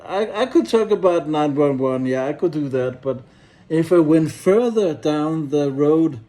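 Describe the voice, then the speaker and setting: Male, Danish accent, higher-pitched but mellow, slow hesitant delivery for a rambling personal vlog. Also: animated. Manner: hesitant, halting, often trailing off, blunt and sweary. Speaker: solo activist and vlogger. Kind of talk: rambling casual monologue to camera.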